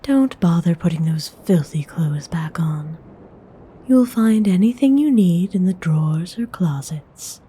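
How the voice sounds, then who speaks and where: A woman playing a courteous, commanding vampire noblewoman in an ASMR roleplay, speaking in a soft, mostly whispered voice with genteel, formal phrasing. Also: lowish voice, expressive, a little hiss or hum. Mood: hospitable, instructing. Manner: genteel, unhurried, formal wording.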